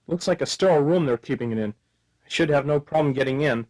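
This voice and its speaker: An actor playing a naive, excitable amnesiac action hero who exclaims and wisecracks in a comic radio play. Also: male voice, on the high side, quick pace, close to an everyday voice. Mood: eager. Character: bumbling, excitable amnesiac male action hero, cheerfully clueless.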